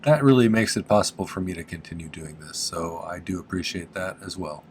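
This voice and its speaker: Deep-voiced male craft teacher, stuffy and nasal, laid-back and chatty. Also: a little fast, a little hiss or hum. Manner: relaxed and chatty, with frequent "uh" fillers and small laughs. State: congested from allergies, slightly nasal. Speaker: silversmith teaching on his channel. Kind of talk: casual how-to narration.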